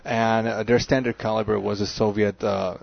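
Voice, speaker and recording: A man from the Czech Republic with a Czech accent, chatting as a guest on a radio show, halting and full of fillers. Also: lowish voice, level tone, creaky, no echo, dull audio. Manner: halting, filler-heavy, chuckling. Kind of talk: casual radio chat.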